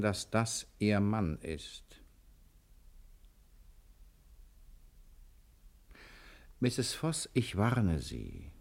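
A slick self-assured phony detective, polite official talk, pitch all over the place, old adventure comedy drama. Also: male voice, a little mellow. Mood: stern, commanding. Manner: polite, glib, official-sounding. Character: sly, self-assured impostor posing as a private detective. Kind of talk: German screen comedy-adventure dialogue.

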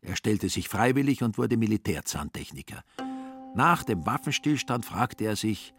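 A man's voice: on the low side, big pitch swings, normal speed, fairly bright tone, faint music behind. A man delivering scripted, ironic literary narration as the storyteller of a radio play.

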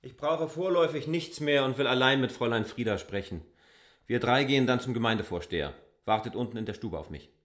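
An actor as a self-assured male land surveyor in a literary radio play. His voice sits at a middle pitch, and he talks brusquely, with formal politeness and little patience. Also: expressive, a little fast, a bit bright, close to an everyday voice. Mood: curt, commanding. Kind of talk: German literary radio drama acting.

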